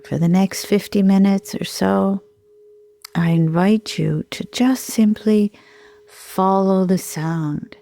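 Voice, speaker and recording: Slow, mellow woman guiding meditation. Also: medium pitch, fairly even intonation, vocal fry. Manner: soothing, unhurried, gentle pauses. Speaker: sound healer and meditation guide. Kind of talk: guided meditation.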